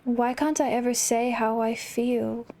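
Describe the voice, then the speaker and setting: A woman voicing a gloomy, bitter, withdrawn shadow self in a video game, with a sulky, drawn-out delivery. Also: on the high side, steady tone, dark tone. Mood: sullen. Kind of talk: reading video game dialogue in character.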